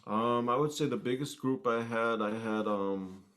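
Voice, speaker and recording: A man's voice: mid-range voice, normal speed, fairly bright tone. A man chatting casually as a musician guest in an interview. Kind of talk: casual chat.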